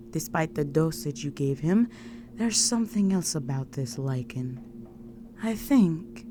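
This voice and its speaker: Lady acting a low slow vampire countess, regal, seductive, quietly menacing, audio roleplay, bit of noise behind. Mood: intrigued. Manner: slow, poised, commanding, softly menacing. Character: imperious, seductive vampire countess, ancient ruler.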